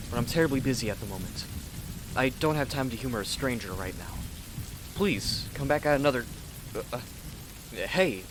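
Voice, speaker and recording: A man's voice: mid-range voice, natural voice. Guy doing a snooty impatient artist dude for asmr roleplay, condescending n dramatic, rain noise in back. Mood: irritated, curt. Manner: condescending, dry, theatrical. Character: haughty, impatient, self-important male manga artist. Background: rain and thunder.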